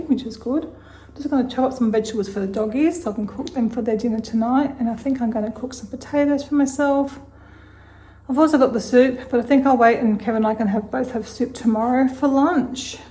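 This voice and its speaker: A middle-aged woman with an Australian accent talks through her day in a rambling, easygoing way. Her voice sits fairly high and is a little croaky, and she breaks into soft laughs as she thinks aloud in her kitchen. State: slightly croaky voice. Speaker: vlogger. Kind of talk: casual day-in-the-life vlog narration. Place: home kitchen.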